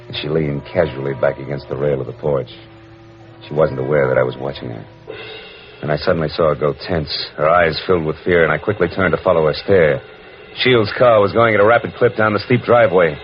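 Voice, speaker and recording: A very low-voiced, hard-boiled American private eye, clipped, wry and in command. He narrates like a 1940s radio drama, on a dull old recording with music. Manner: wry, clipped, world-weary. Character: tough, wry male private detective, dry and in command.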